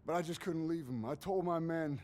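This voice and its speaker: An actor playing a bitter, drunken male army sergeant who growls low and erupts into roaring shouts, in a video game cutscene. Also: a little fast, slight background noise, close to an everyday voice. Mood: raging.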